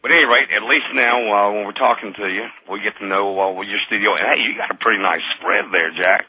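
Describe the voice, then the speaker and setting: A man's voice: on the low side, steady tone, quick pace, pushed and bright, slightly rough, dry recording, muffled recording. A middle-aged American man calling a radio show, chatting casually in a folksy, chummy way. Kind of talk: casual chat.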